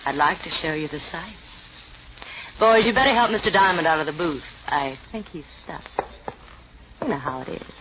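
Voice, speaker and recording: A woman's voice: low voice, moderate pace, pauses often, background noise, close to an everyday voice. Actress as a sardonic gangster woman, muffled old radio. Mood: sardonic threat. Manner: mocking, coolly menacing. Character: tough, sardonic female gang boss called Mama. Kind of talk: 1940s radio noir acting.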